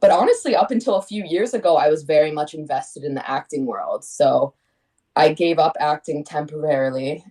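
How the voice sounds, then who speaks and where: A young woman from Connecticut chats casually as an interview guest. Her voice sits on the low side and sounds mellow and warm. She rambles, restarts her sentences, and laughs now and then. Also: brisk. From Connecticut, USA. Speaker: singer-songwriter guest.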